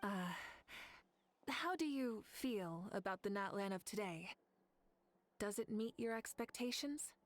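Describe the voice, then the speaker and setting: An actress playing a proud, fiery woman who leads her nation, speaking as earnest game cutscene dialogue with soft music behind. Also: mid-range voice, lively intonation, a little slow, close to an everyday voice. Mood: solemn. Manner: solemn, earnest storytelling.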